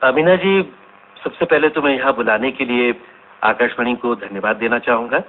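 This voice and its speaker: An actor playing a courteous, earnest senior scientist, explaining politely and deliberately in a scripted radio drama interview. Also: male voice, medium pitch, close to an everyday voice. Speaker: studio interview guest. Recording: tinny, band-limited, noisy radio recording.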